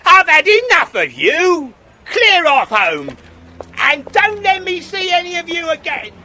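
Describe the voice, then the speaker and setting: An angry grown-up in a children's audio play. The voice is forceful and high, barking orders slowly, with audible tape noise. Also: huge pitch swings, full character voice. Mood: furious. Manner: irate, barking commands. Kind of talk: children's audio drama acting.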